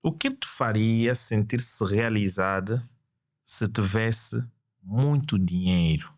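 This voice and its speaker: A man hosts a podcast interview in a fairly low voice, recorded dry with no room sound. He asks his questions and greets the answers with admiring interjections. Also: animated, slow, no echo. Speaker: podcast host. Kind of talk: interviewing a guest.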